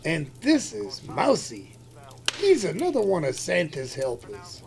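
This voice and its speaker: A folksy, kindly Santa figure, played by a man in a holiday radio play. The voice sits high and gravelly, with big swings in pitch and an unhurried delivery. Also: wildly swooping pitch, a crowd in the background, heavily acted. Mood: kindly, jolly. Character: warm-hearted husband playing Santa for a young guest. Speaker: actor in an amateur audio play.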